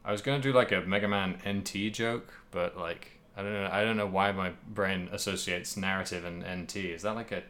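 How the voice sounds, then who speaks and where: Low voice but bright and sharp, guy rambling and joking on a gaming podcast. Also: fairly even intonation, fairly quick, hardly any pauses. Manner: rambling, jokey, laughs often. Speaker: podcast co-host. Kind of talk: casual video game podcast chat.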